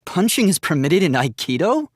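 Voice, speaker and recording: K1-B0, an animated, earnest young robot. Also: male voice, high voice, moderate pace, no room sound, clean studio sound. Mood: analytical, matter-of-fact. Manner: formal, precise, literal-minded phrasing. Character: earnest, polite young male robot, naive but resolute. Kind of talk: video game voice acting.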